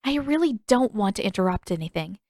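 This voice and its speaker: A woman's voice: mid-range voice, lively intonation, a little fast, a little mellow, dry recording, close to an everyday voice. Earnest teenage fantasy heroine, eager, frank and informal, played by a woman narrator, closely dry recording. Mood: eager, apologetic.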